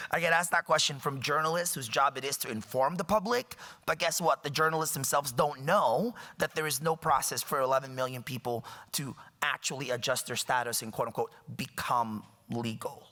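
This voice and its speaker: A man's voice: mid-range voice, moderate pace, sharp tone. A man in his thirties from the Philippines, giving a public talk in a wry, joking and emphatic way. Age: 30s. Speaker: guest speaker. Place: lecture hall. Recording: reverberant lecture hall.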